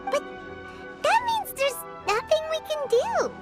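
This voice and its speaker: A woman voicing an excitable small girl companion in a game, with a high, squeaky, childlike voice. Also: slow, over music, heavily acted. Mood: excited. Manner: excitable, reacts with loud exclamations. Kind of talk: game voice acting.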